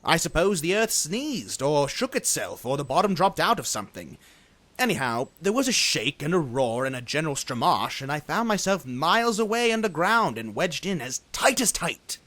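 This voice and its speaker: A man voicing a lazy, bashful gentleman dragon in a dramatized storybook, rambling on in genteel, eager, old-fashioned talk. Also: a little high, sing-song, moderate pace, no echo. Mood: rambling storytelling. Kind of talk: dramatized storybook character acting.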